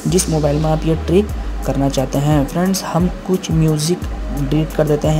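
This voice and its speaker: A man with an Indian accent giving a step-by-step tech tutorial as a host, addressing viewers as friends in a repetitive way. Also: on the high side. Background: background music.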